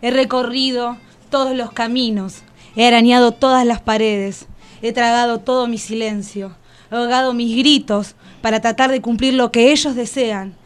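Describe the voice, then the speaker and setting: A woman's voice: a little high, fairly even intonation, normal speed, clean tone, close to an everyday voice. An actress playing a wounded, bitter and defiant enslaved everywoman, reciting emphatically in poetic radio theatre. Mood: anguished, emphatic. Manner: solemn, emphatic poetic listing.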